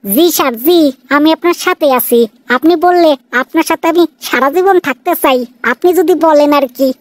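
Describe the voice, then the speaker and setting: A woman plays a deferential cartoon policewoman with a Bangladeshi accent. Her voice is high and dryly recorded, chatty and inquisitive as she addresses her superior respectfully. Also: moderate pace, no echo, natural voice. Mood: coy. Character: deferential, inquisitive single female police sub-inspector. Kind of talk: cartoon dialogue.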